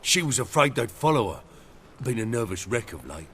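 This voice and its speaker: Meek valet, lively rising and falling voice. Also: male voice, mid-range voice, a little fast, background noise. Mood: earnest, worried. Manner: servile, folksy, dropped words. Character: meek, deferential male valet to a noble lady. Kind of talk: video game dialogue acting.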